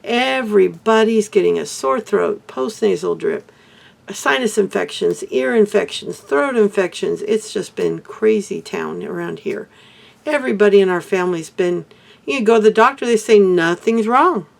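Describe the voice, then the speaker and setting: An older woman from Texas, hoarse, chatting casually to camera in a rambling way about her crafts and life. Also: medium pitch, animated, normal speed. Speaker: beadwork vlogger.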